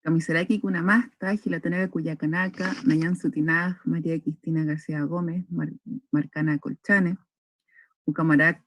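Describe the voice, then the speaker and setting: Dry, measured young woman speaker. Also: sits low, steady tone, dry recording. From northern Chile. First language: Aymara. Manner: measured, with frequent hesitations and restarts. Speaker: invited speaker at a cultural forum. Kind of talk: formal talk.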